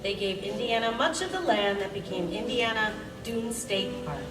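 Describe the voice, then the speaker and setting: A woman's voice: mid-range voice, animated, moderate pace, close to an everyday voice. A woman playing an enthusiastic landscape architect in an amateur radio play, chatty and explanatory. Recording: roomy, distant mic, music behind.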